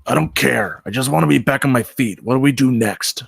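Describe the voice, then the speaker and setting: A man's voice: mid-range voice, brisk, gravelly, breathy edge. A man playing an aggrieved, defiant homeless Bigfoot with a blunt, indignant manner in a screenplay table read.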